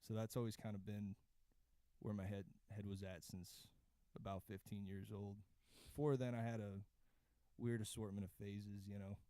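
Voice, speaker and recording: A man's voice: fairly low pitch, flat delivery, moderate pace, mellow, dry recording. A young American man from Florida, a singer-songwriter guest, chatting casually into a close studio microphone. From Florida, United States. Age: young adult. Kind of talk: casual chat. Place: indoor radio studio. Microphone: close stand mic, headphones.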